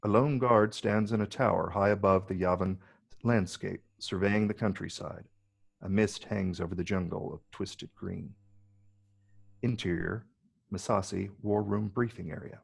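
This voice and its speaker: A man reading stage directions as scripted narration in a measured, descriptive way. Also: on the low side, steady tone, vocal fry, husky.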